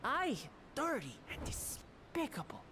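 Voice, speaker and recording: A woman's voice: high voice, huge pitch swings, measured pace, full character voice. An actress voicing a bold, eager young female cat-folk warrior in a video game, with a hearty, boisterous manner. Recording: game audio with clear noise.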